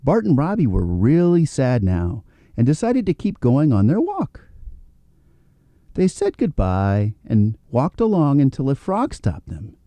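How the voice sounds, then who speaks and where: A man narrating a children's story as a read-aloud, in a gentle, expressive storytelling manner. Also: mid-range voice, measured pace, no echo.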